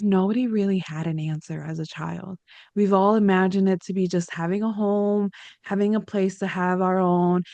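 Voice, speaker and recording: A woman from the United States hosting an interview show, lively, chatty and emphatic. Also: medium pitch, no room sound. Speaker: podcast host. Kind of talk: conversational interview.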